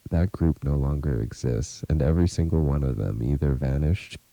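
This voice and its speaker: A man playing a grave, haunted researcher in an audio drama, confiding a warning in a deliberate, ominous, earnest way. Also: extremely low pitch, creaky, mellow, no echo. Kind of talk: scripted audio drama monologue, recorded message.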